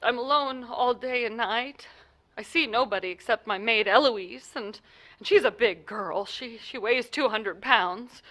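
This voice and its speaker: A woman's voice: a little high, unhurried, a bit bright. A woman playing an anxious, imperious bedridden wife in a 1940s-style radio play, stammering and insistent in an agitated way. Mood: uneasy, dismissive.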